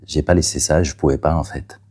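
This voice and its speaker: A man whose first language is Catalan, a playwright talking about his play in an interview, hesitant, with many fillers and restarts, and trailing off softly. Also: a bass voice, steady tone, a little fast, husky. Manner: soft-spoken, trailing off.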